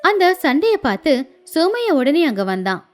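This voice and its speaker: High, very lively female story narrator. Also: normal speed, faint music behind.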